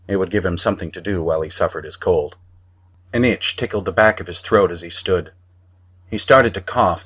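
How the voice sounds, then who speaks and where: A man narrating fiction from a script as an audiobook reader, in a measured storytelling manner. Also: deep voice.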